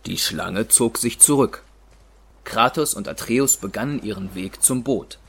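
A man's voice: on the low side, unhurried, fairly bright tone. A man reading scripted narration for an audio drama. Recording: clear water ambience behind voice.

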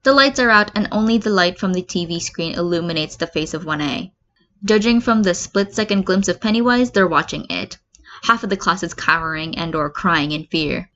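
A woman's voice: on the low side, moderate pace, a bit bright. Woman narrating fiction, slightly dull recording. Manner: acts out comments and quotes. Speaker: fan fiction reader. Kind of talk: scripted narration of a comedic story.

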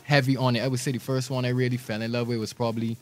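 Young man, Bajan accent, relaxed slangy talk, easy laughs, faint music underneath. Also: medium pitch, rapid-fire. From Barbados. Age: 20s. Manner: laid-back, slangy, laughs easily. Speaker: rapper guest. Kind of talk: casual interview chat.

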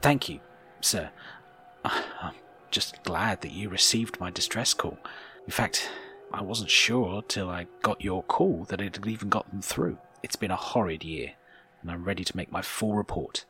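A man's voice: sits low, measured pace, bright, forceful tone, soft background music, full character voice. A man playing an earnest, bewildered junior starship officer in a sci-fi audio drama. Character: earnest, bewildered male starfleet ensign, long missing.

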